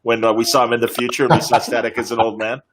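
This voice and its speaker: A man's voice: mid-range voice, level tone, racing, crowd noise, poor audio. A man moderating a casual panel, speaking in an effusive, appreciative way.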